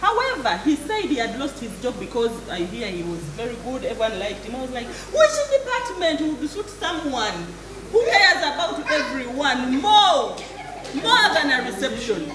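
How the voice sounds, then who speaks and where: A woman's voice: high voice, sing-song, moderate pace. A middle-aged woman with a Ugandan accent playing a defensive, dramatic business-owning wife in a stage comedy. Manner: emphatic, theatrical, repetitive. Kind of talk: live stage comedy acting. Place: theatre stage. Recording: echoey theatre stage, clear audience noise.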